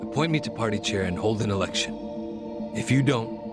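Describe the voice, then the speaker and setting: Man acting a cold, ambitious politician, low-voiced. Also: expressive, lightly acted. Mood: coldly threatening. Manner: measured, commanding, condescending. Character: cold, ambitious younger male politician, threatening and calculating. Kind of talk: English video game dub acting. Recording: loud background music.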